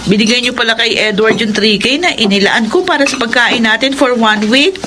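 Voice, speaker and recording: A woman's voice: fairly high pitch, fairly quick, background noise, natural voice. A Filipino-accented woman playing an indignant, skeptical grown daughter and older sister in a radio drama, reproachful and sarcastic.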